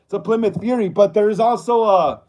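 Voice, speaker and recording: A man's voice: sits high, a little fast, darkish tone, poor audio. A man in his thirties hosting a casual livestream, reading viewer comments aloud in a laid-back way and often stammering and repeating himself. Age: 30s. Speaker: livestream host.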